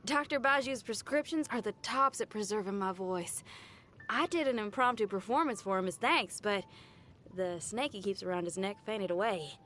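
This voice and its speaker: A woman's voice: medium pitch, normal speed, a bit bright, soft background music, natural voice. A woman playing a brash, cheerful young female rock musician in video game voice lines, chatting in a folksy, playful way. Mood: cheerful, confiding. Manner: chatty, folksy, colloquial with tag phrases.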